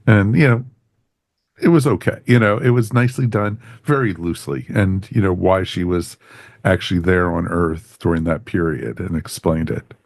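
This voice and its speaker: A man's voice: low-pitched, normal speed, gravelly, no echo, studio quality. A man co-hosting a podcast, giving an enthusiastic, casual review as he chats with others. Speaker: podcast co-host reviewing audio dramas.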